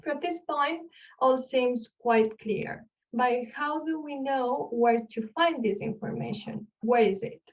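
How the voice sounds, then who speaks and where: Spanish-accented woman, friendly explainer on a video call, slightly high voice, dull audio from a distance. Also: takes it slowly. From Spain, Barcelona. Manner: friendly, explanatory, asks the audience questions. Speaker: archaeologist giving an online lecture. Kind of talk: online lecture.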